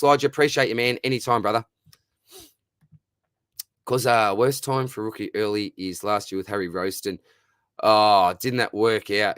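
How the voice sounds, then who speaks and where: Laid-back Australian bloke, low voice. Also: male voice, a bit bright, dry recording, clean studio sound. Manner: matey and chatty, lots of "mate" and "brother", reads out questions. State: dry throat, losing his voice. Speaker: fantasy football streamer answering viewer questions. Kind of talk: casual live-stream Q&A.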